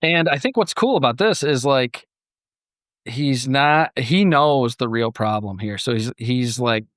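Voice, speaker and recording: A man's voice: mid-range voice, animated, unhurried, fairly bright tone, dry recording. Casual male podcast host, dry close sound. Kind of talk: casual conversational podcast discussion.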